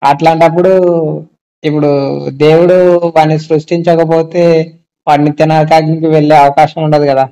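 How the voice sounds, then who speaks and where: Male, fairly high and very mellow, casual explanatory phone talk with rhetorical questions. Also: little pitch movement, moderate pace, pauses often, clean tone, poor audio. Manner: explanatory, asks rhetorical check-back questions. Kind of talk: casual phone discussion of faith. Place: recorded phone call. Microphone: telephone.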